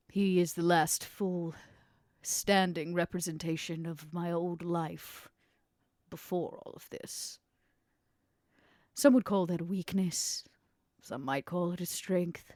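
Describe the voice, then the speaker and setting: Woman playing Vera Volkov, low-voiced scheming vampire. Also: a little mellow, no room sound, clean studio sound. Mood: grave, confiding. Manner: theatrical, deliberate, insinuating. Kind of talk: in-character tabletop roleplay.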